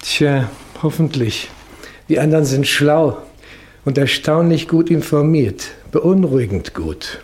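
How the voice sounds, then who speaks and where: An actor playing a grave, cautious state secretary with formal, precise diction, in 1960s German radio play style. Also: male voice, a little high, animated, unhurried, a little mellow. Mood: concerned, warning. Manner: formal, precise, urgent, hesitant at times.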